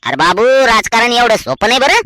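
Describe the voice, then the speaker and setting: A man voicing a skeptical, sharp-tongued cartoon village father, sarcastic and folksy, with a rural Maharashtrian accent. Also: very high voice, big pitch swings, fairly quick, full character voice. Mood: scolding. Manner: sarcastic, folksy, repeats words for emphasis. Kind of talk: cartoon comedy voice acting.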